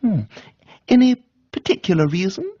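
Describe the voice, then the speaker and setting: A man voicing an amiable, foppish young gentleman with an upper-class English accent, chirpy and easily flustered, in a comic reading. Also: sits high, very animated, normal speed, breathy edge, lo-fi sound, pitched above the performer's own voice, heavily acted. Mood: curious, breezy. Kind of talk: dramatised comic audiobook reading.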